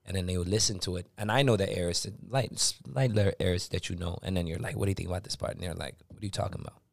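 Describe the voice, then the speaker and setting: A man with a raspy voice hosting a casual interview, quick to agree and affirm. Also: sits low, dry recording, studio quality. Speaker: interview host.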